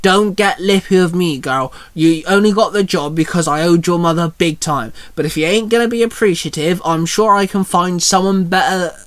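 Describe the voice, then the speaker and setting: A man's voice: high voice, moderate pace, hardly any pauses, no echo, lightly acted. Man playing a bullying, barking male boss. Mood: angry, scornful. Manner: barking, scolding, slangy. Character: gruff, bullying, short-tempered male boss.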